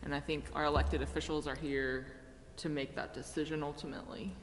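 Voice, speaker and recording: A woman's voice: low-pitched, little pitch movement, fairly quick. American woman, assertive, public meeting remarks, echoey reverberant room, faint noise. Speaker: city meeting participant.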